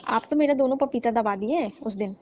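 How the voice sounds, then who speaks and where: A woman acts out a young daughter-in-law in a staged comic phone call. She speaks in a high voice with teasing mock reproach, on slightly muffled, lo-fi audio. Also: brisk, a little mellow, a little hiss or hum, close to an everyday voice. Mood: reproachful, teasing.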